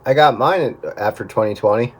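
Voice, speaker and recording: A man's voice: medium pitch, moderate pace. A middle-aged man chatting casually about himself in a conversational way. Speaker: radio hobbyist on a group call. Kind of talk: casual chat.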